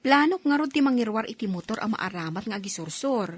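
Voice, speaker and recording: A woman's voice: on the high side, lively intonation, fairly quick, slightly rough. A woman playing a modest, frank working woman in her thirties in a radio drama, with an Ilocano accent. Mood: practical. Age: 30s. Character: modest, hardworking 31-year-old woman, bashful but frank.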